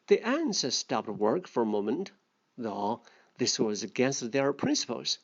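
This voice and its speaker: Slow, very melodic instructional storyteller. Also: medium pitch, big pitch swings. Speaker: storyteller and English vocabulary teacher. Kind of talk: scripted fable narration and word explanations. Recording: dull, muffled lo-fi sound.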